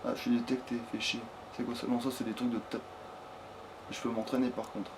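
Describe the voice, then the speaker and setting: A man's voice: medium pitch, level tone, fairly quick, noisy background. A man giving casual, rambling let's play commentary peppered with swearing. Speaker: let's player.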